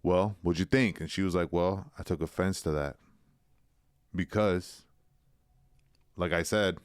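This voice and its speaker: A man's voice: low-pitched, steady tone, a little slow, no echo, studio quality. A man co-hosting a podcast, telling a long story in slangy casual chat and acting out the quotes. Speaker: podcast co-host.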